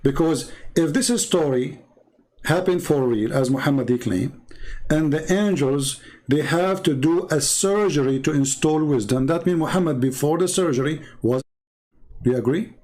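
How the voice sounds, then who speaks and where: Insistent, higher-voiced man debating. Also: moderate pace, a little mellow. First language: not English. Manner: confrontational, insistent, repeats demands. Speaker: host of a religious debate. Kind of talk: live religious debate, cross-examination.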